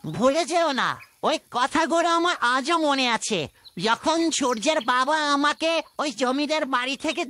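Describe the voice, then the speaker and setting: A woman's voice: high-pitched, a bit bright, slight background noise. A woman voicing a stern, proud village father in a cartoon, speaking firmly. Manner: firm, declarative scolding. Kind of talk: cartoon dubbing. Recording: music bed behind voice.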